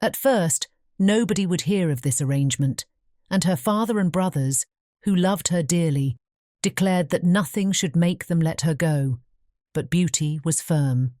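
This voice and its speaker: Low, mellow woman narrating a fairy tale. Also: unhurried, dry recording, studio quality. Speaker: audiobook narrator.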